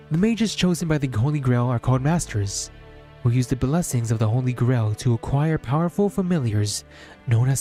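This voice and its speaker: Male streamer, mid-pitched and warm-toned, narrated read-aloud of a visual novel over background music.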